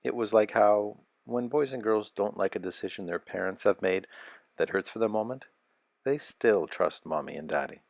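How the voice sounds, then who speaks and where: A man with a deep, slightly gravelly voice reads a scripted children's story. His delivery is measured and expressive, and the sound is close and dry, with very little room around it. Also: no echo. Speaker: storybook narrator.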